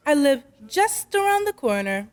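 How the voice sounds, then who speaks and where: A woman plays a practical, skeptical working mother in vintage radio drama. Her voice is high, her delivery dry and businesslike. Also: very animated, normal speed. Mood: brisk, wry. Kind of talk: old-time radio drama acting.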